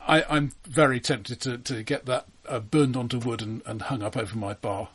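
A man chatting casually about films as a podcast co-host, in a halting, wry, conversational way. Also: mid-range voice, fairly even intonation, fairly bright tone, husky. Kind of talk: casual film discussion.

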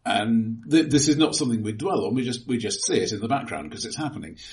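A man's voice: mid-range voice, lively intonation, quick pace, a little mellow. A man co-hosting a casual, analytical film discussion, precise and a little pedantic, often repeating words as he forms a point. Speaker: podcast co-host.

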